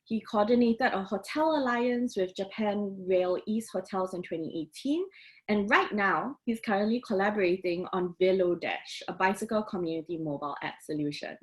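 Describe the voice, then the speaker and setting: Woman hosting a casual fireside chat, normal mid pitch, interviewer type. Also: moderate pace. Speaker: host, moderator.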